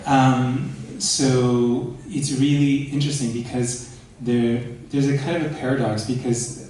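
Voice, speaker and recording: A man speaking on a conference panel, explaining in an animated way, recorded from far off in an echoey hall. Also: mid-range voice, a little slow.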